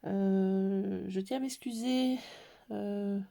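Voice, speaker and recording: A woman with a cold explaining a scrapbook album in a hesitant, casual way, with many pauses and fillers. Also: mid-range voice, fairly even intonation, vocal fry, dark tone. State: has a cold. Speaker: scrapbooking tutorial maker. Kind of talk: casual craft tutorial.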